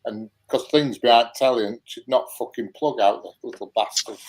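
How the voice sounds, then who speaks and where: A man's voice: mid-range voice, moderate pace, darkish tone, a little hiss or hum, low-quality recording. A man, chatting casually and swearing now and then. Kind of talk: casual chat.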